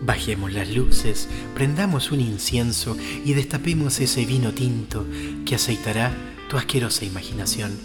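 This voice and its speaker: A man's voice: medium pitch, moderate pace. A man announcing a radio drama in a mock-sultry introductory style. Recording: long reverb, loud guitar music behind. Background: guitar music.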